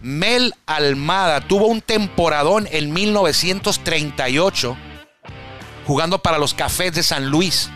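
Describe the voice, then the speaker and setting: A man's voice: a little high, unhurried, pushed and bright, faint music behind. A man hosting a baseball talk show, chatting casually and correcting himself as he rambles through statistics. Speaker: baseball stats radio host.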